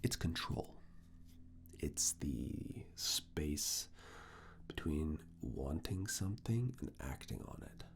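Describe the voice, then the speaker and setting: A man performing an intimate ASMR roleplay as a stern, teasing professor, speaking deliberately in a breathy whisper and low murmur. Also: sing-song. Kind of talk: ASMR personal attention roleplay.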